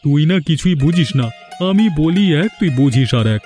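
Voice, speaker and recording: A man's voice: fairly high pitch, animated, moderate pace, a little mellow, soft background music, close to an everyday voice. A man playing a sly, conspiratorial village workman in a cartoon, explaining his schemes. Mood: scheming. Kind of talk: cartoon voice acting.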